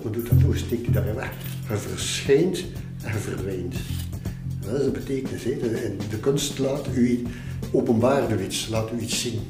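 A Flemish man explains in a conversational way, repeating himself and posing rhetorical questions. His pitch is average for a man. The room rings with echo and music runs behind. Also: dark tone. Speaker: art collector and interviewee.

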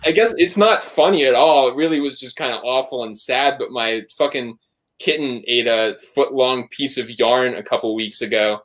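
A man's voice: mid-range voice, moderate pace, fairly bright tone. Guest man, loose rambling talker, casual swearing, filler-heavy. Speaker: podcast guest, band member and photographer. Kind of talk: casual conversational interview.

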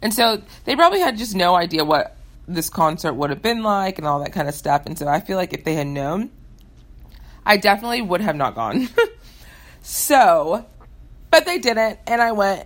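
A woman's voice: medium pitch, very animated, normal speed. A young American woman hosting a casual, upbeat question-and-answer show, chatting informally to listeners. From United States. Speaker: host of a Q&A show.

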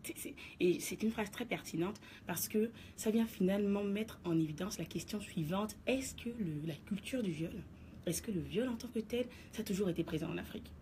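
A woman's voice: mid-range voice, moderate pace, mellow, a little hiss or hum. A woman giving her radio column, explaining her position to listeners in an assertive, lecturing way. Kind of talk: radio commentary segment. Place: radio studio.

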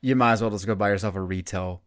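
Chatty male podcast host. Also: fairly low pitch. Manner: chatty, quick bursts, many restarts. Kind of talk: casual interview chat.

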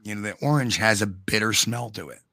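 A man with a New York accent chats on a live show in a folksy, blunt way. His voice sits low yet cuts bright and forceful, recorded close and dry. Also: a little fast, vocal fry, no echo. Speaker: co-host. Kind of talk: casual podcast chat with live chat.

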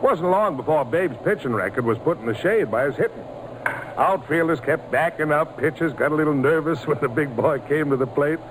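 A man's voice: fairly high pitch, brisk, lightly acted. An actor playing a folksy, enthusiastic father telling baseball tales to his son in an old-time radio drama. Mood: nostalgic, delighted. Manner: folksy, relishing. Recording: noisy old radio recording.

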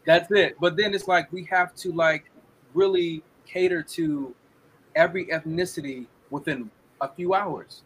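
A young man as a guest, chatting casually and acting out the lines he quotes in lively voices. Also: on the high side, measured pace, a little hiss or hum, low-quality recording. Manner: animated storyteller, acts out quotes. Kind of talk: casual interview talk. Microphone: video call.

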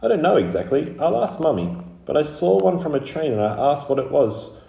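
A man's voice: fairly high pitch, very animated, a little fast, gravelly, mellow, reverberant, voice pushed up, poor audio. A man voicing a candid, stubborn little girl in a storybook, matter-of-fact and childlike. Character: small young girl, candid, stubborn, easily irritated.

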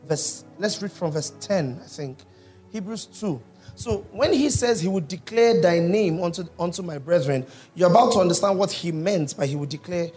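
A male pastor with a slightly raised pitch teaches through a sermon, stopping often to ask his congregation questions. Soft music sits faintly behind his voice. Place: church hall with congregation.

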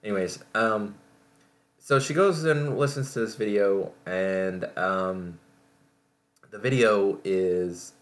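Low-voiced man, rambling casual podcast host. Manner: rambling, halting, self-correcting. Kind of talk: casual solo talk reviewing entertainment.

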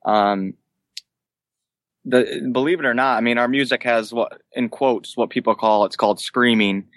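A man's voice: medium pitch, fairly even intonation, normal speed, a bit bright, no room sound. A man in his late twenties, a band vocalist, answering casually as an interview guest. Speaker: guest, band vocalist who sings and screams.

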